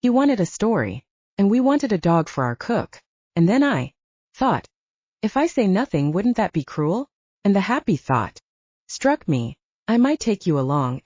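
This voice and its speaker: A woman's voice: mid-range voice, sing-song, normal speed, warm tone, husky, dry recording. A woman voicing a grandiose, effusive male scientist and gourmet in an audiobook, with a pompous, oratorical manner. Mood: apologetic, chatty. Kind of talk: audiobook character voice. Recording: dull, muffled dry audio.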